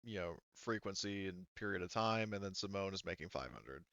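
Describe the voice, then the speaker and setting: A man explaining tabletop game mechanics as game master, in a halting, casual and mildly sweary way. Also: low voice, lively intonation, vocal fry, bright, forceful tone, no echo, highs cut off.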